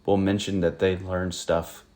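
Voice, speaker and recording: A man's voice: low-pitched, little pitch movement, measured pace, noisy background. A man from northern Ohio with a General American accent, hosting a casual podcast conversation and talking in a hesitant way, with many fillers and restarts. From northern Ohio, USA. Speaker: podcast host.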